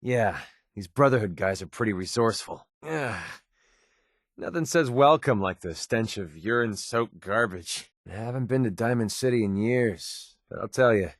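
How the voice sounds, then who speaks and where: An actor playing a wry, world-weary young mercenary in a video game, with an American accent and a casual, sarcastic way of talking. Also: male voice, fairly low pitch, animated, moderate pace, no echo, studio quality. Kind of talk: video game character voice lines.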